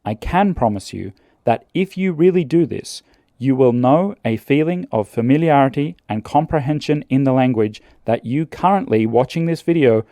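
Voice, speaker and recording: A man calmly narrating scripted language-learning advice in a measured, earnest way. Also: mid-range voice, no echo, clean studio sound.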